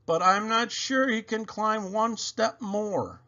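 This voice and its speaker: A man's voice: sits high, slow, dull audio, pitched above the performer's own voice, heavily acted. A man voicing an eager, earnest young boy who helps Santa in a children's storybook. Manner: excited, earnest.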